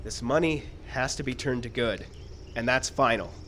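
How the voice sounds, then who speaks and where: A man plays an earnest, principled screenwriter in a live radio drama. He speaks at a middling pitch, halting and deliberate, with faint background noise. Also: fairly bright tone, lightly acted. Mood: firm, earnest. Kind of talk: live radio play acting. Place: live radio show in a park.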